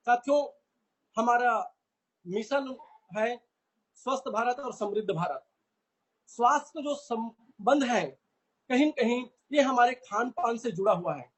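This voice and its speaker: High husky male trainer from India, earnest, repetitive sales pitch. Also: rough recording. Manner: earnest, repeats phrases, addresses listeners as friends. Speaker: product trainer.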